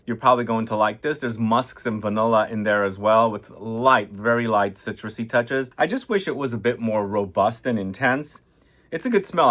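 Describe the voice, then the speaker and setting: A man's voice: medium pitch, a bit bright. A man from Lebanon hosting a casual fragrance review, talking conversationally to viewers and putting questions to them. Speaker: fragrance reviewer, video host. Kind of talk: casual video review to camera.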